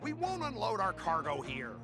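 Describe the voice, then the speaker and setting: An actor playing an irate, stubborn cargo worker in a video game, indignant, blustering and emphatic. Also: male voice, high-pitched, huge pitch swings, moderate pace, over music. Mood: aggrieved. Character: irate, stubborn male cargo-company worker, loud complainer.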